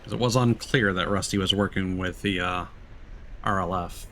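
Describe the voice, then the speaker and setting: A man's voice: low voice, fairly even intonation, moderate pace, fairly bright tone, slight background noise. A man giving casual commentary as a game streamer during a playthrough. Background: game music.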